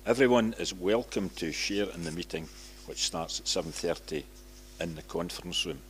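An older man in his seventies with a Scottish accent, reading church notices formally and a little haltingly at a lectern microphone. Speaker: church announcer. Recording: low hum and background noise.

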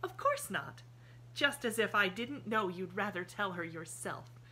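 A woman voicing an eager, cheerful little girl in an audiobook, bright, breathless and guileless. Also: mid-range voice, expressive, a little slow, slight background noise, pitched above the performer's own voice, poor audio. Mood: innocent. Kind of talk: audiobook character voice.

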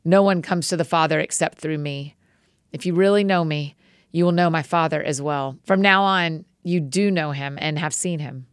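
A woman reading the words of a calm, authoritative male teacher in a measured, solemn way. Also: sits low, smooth, dry recording, clean studio sound, voice pushed down, natural voice.

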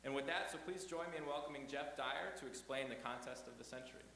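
A man's voice: mid-range voice, quick pace, vocal fry. A young man hosting an event, reading an introduction of the speaker from notes, with small slips. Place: lecture hall. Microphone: podium microphone. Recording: echoey hall with faint hum.

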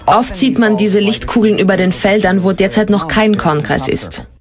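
A woman's voice: mid-range voice, moderate pace, a crowd in the background. A woman voicing a researcher's interview commentary for a documentary.

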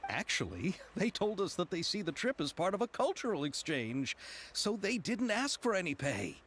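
A man's voice: sits high, big pitch swings, normal speed, fairly bright tone, a little hiss or hum. A man voicing a polite, earnest young film director in a game. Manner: polite, thoughtful. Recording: game audio with music behind.

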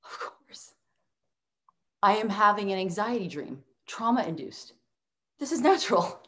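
A young woman playing an anxious, skeptical former assistant in a comic table read, deadpan and incredulous, over video call. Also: mid-range voice, unhurried. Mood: anxious skepticism.

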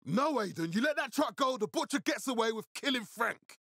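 An actor playing an authoritative, blunt militia leader with a gravelly voice in a zombie apocalypse video game. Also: male voice, extremely high pitch, animated, a little fast, a bit bright, dry recording, clean studio sound, full character voice. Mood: furious, shouting orders. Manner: authoritative, urgent, giving blunt orders. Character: commanding, hard-swearing male militia leader in a zombie apocalypse. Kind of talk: video game dramatic acting.

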